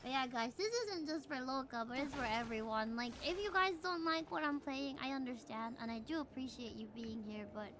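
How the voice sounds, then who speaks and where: High-pitched woman chatting on a noisy stream. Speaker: live game streamer. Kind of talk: casual chat with stream viewers. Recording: clearly noisy stream audio.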